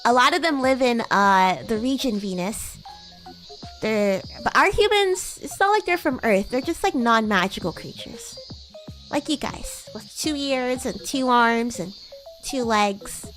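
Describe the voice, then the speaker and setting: A woman's voice: a little high, animated, unhurried, a bit bright, soft background music. A woman voicing a bratty, haughty young demon schoolgirl in an improvised livestream roleplay, teasing and condescending. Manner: teasing, condescending, flustered tsundere denials.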